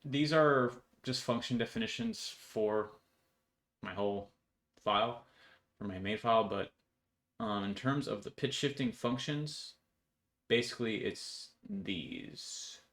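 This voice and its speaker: A man's voice: mid-range voice, slow, fairly bright tone. A young man giving a casual, offhand walkthrough of code in an informal explanatory way. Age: young adult. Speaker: programmer presenting own project. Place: indoors in a home room.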